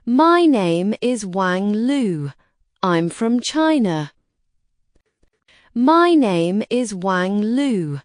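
Slow, careful woman reader. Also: medium pitch, big pitch swings, dry recording, clean studio sound. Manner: slow, careful pronunciation for learners. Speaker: textbook dialogue reader. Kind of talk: scripted textbook dialogue.

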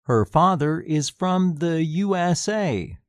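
A man repeats each word and sentence at a very slow, deliberate pace, his pitch rising and falling widely. The sound is dry and close, straight into a studio mic. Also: lively intonation, no room sound. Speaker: recorded lesson reader. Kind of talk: scripted listening exercise.

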